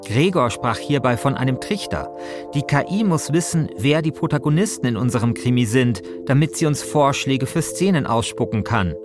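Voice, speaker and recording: A man with a mid-pitched voice narrates a podcast from a script in colloquial wording. Music plays clearly behind him. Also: expressive, normal speed.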